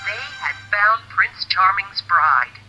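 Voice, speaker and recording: A woman narrating a children's fairy tale in an expressive, deliberate storybook manner. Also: medium pitch, highs cut off, faint music behind.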